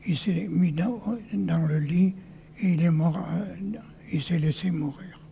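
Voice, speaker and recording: Old guy, dark gravelly voice, talks slow with lots of restarts, into a handheld mic. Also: fairly high pitch, fairly even intonation, slight background noise. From northern France. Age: older. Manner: halting, with many restarts and whispered stretches. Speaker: witness telling his life story. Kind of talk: testimony to an audience.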